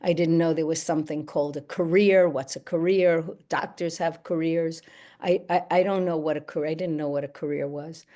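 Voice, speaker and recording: An older woman from the United States whose first language is Yiddish, answering in a reflective Q&A with halting, thoughtful phrasing and frequent restarts. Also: low voice, fairly even intonation, dark tone. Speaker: actress and guest.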